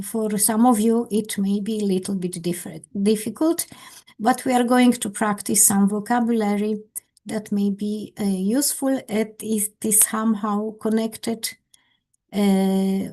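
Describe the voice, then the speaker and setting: A woman with a Polish accent, leading an online English conversation class as its teacher, with careful, halting non-native phrasing. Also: medium pitch, dark tone. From Poland. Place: indoors on a video call.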